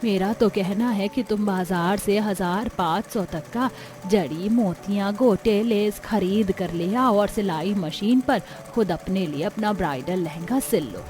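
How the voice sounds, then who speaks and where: A woman voicing a caring, protective middle-aged mother in a cartoon family story, with a soft manner. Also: mid-range voice, lightly acted.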